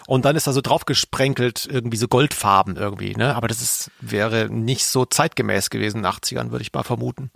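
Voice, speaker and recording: Male co-host, mid-pitched with plenty of vocal fry, casual and drily joking, close dry sound. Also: fast talker, hardly any pauses, a bit bright, dry recording. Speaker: podcast co-host. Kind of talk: casual chat.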